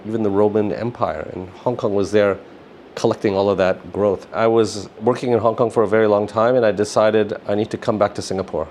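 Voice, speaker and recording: Middle-aged man, lowish pitch, casual interview guest, rambling phrasing, slight background noise. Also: darkish tone. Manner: rambling, often says "you know" and "like". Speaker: interview guest, business founder.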